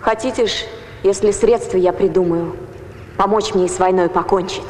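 A woman's voice: medium pitch, fairly even intonation, normal speed, darkish tone, close to an everyday voice. A woman playing a resolute female leader in an ancient Greek film comedy, declaiming persuasively in verse. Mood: plaintive, persuasive. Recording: hall reverb, faint background noise.

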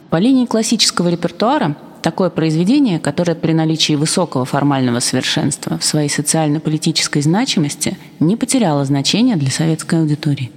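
A woman formally reading an official document in a measured manner, with echoing reverb around her voice. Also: low voice, a little mellow, slightly rough. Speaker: guest reader of documents. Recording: long echoing hall reverb.

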